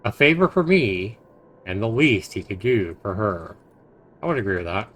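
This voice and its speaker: A man streaming a visual novel, reading it aloud haltingly with stumbles, self-corrections and casual asides. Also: on the low side, big pitch swings, fairly bright tone.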